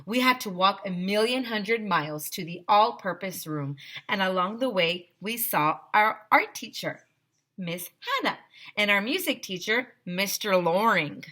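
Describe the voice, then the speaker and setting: A woman reads a children's story aloud as a teacher would, stressing key words with animation. Her voice is bright and her pace is slow and deliberate, at a middling pitch. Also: expressive. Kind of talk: expressive children's read-aloud narration.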